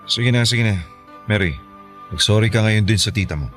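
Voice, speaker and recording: A man's voice: lowish voice, normal speed, a bit bright, faint music behind, close to an everyday voice. An actor playing a stern, exasperated middle-aged father in a Filipino radio drama, scolding and reproachful.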